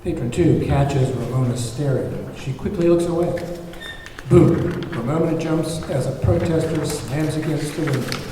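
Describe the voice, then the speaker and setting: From far off in a very echoey hall, a man narrates a screenplay. His voice is slightly high and mellow, with faint noise around it. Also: moderate pace. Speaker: table read narrator.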